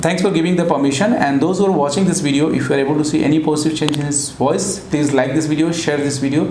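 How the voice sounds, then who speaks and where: A man's voice: fairly high pitch, fairly even intonation, rapid-fire, a little mellow. A man with an Indian accent who works as a voice therapist, conducting a formal clinical interview to camera. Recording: echoey room with faint background noise.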